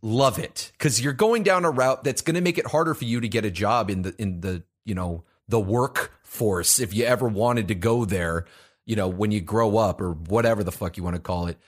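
A man's voice: fairly low pitch, normal speed. Rambling man in casual podcast chat. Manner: rambling, frequent restarts and fillers. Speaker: podcast co-host.

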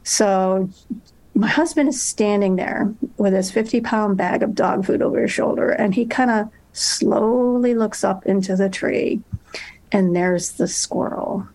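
A woman's voice: mid-range voice, unhurried, a little mellow, rough recording. A woman guest telling a story in casual chat, laughing often as she goes.

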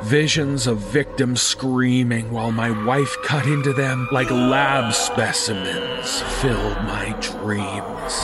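A man reading a scripted first-person horror story in a dramatic, suspenseful manner. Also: mid-range voice.

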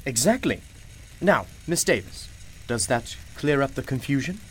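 A man's voice: mid-range voice, big pitch swings, measured pace, slightly rough. A man playing a genial, mysterious old-fashioned shopkeeper in a radio play, with formal, courtly and unflappable phrasing. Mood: mysterious, persuasive.

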